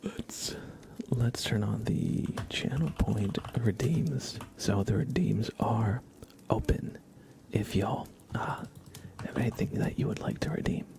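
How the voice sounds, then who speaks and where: Male ASMR streamer, low whispery voice, casual and unhurried chat, hushed and breathy. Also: lively intonation. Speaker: ASMR livestream host.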